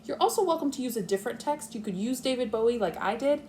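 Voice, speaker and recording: A woman's voice: fairly high pitch, lively intonation, fast, a little mellow, slight background noise. A woman speaking as a kindergarten teacher, explaining and thinking aloud step by step in a read-aloud lesson for young children.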